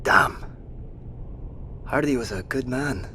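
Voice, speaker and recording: Insistent male survivor over faint rumble. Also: slow, bright, forceful tone. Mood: stunned, sober. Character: urgent, wary male survivor tracking giant creatures, commanding but courteous. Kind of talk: naturalistic audio drama acting. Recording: faint low rumbling background noise.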